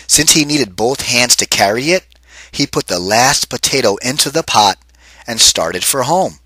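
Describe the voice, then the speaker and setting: Lively, husky male storybook reader. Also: medium pitch, measured pace, no room sound. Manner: expressive, lively, asks kids questions. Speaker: read-aloud teacher. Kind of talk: children's picture book read-aloud.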